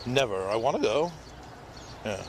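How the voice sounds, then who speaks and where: A man's voice: medium pitch, lively intonation, moderate pace, over a noisy crowd. A man with a New York accent chatting brashly with a stranger on a city street. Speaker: street interviewer. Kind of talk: casual street chat.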